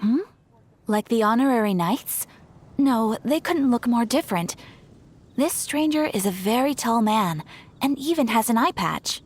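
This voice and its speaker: A woman's voice: a little high, animated, a little slow, slightly rough. A woman playing a courteous, helpful young guild receptionist in an English game dub, speaking politely and formally with encouragement. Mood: polite, encouraging.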